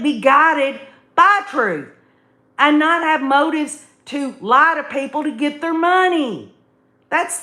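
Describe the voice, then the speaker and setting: A woman with a slightly high voice hosts an opinionated livestream. She talks straight to her listeners in an emphatic, folksy way, often repeating a phrase to drive it home. The room around her voice is clearly echoey. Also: sharp tone. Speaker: livestream host commentator. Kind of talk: opinionated live commentary, reading viewer comments.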